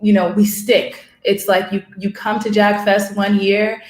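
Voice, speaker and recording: A woman's voice: mid-range voice, little pitch movement, measured pace. A woman writer talking casually in a discussion, reflective and searching for her words. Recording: roomy, echoing room sound.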